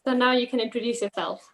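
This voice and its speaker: A woman's voice: fairly high pitch, fairly even intonation, fairly quick, low-quality recording. A woman moderating a webinar, handing the word to panelists with brief, polite prompts. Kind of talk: webinar hosting.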